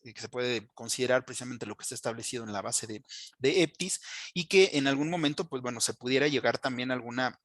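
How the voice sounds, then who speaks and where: A man giving a technical training presentation as an instructor, speaking haltingly with frequent fillers and check-in tags. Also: medium pitch, a bit bright, no room sound.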